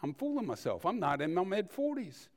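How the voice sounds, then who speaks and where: An older man preaching in a conversational, storytelling way, with rhetorical questions and deliberate pauses. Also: sits high, big pitch swings. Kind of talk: sermon with personal anecdotes.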